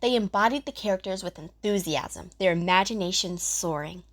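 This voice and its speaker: A woman's voice: mid-range voice, normal speed. A woman narrator reading a scripted children's story.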